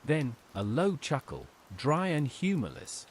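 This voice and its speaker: Man narrating horror with very animated pitch. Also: measured pace, slight background noise. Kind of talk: dramatic scripted first-person horror narration.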